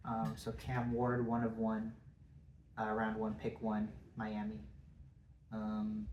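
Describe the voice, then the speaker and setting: A man's voice: fairly low pitch, monotone, slow pace, highs cut off. A man with a congested voice, chatting casually about football as a podcast co-host, hesitant with many ums. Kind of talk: casual sports chat. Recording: echoey room, faint noise.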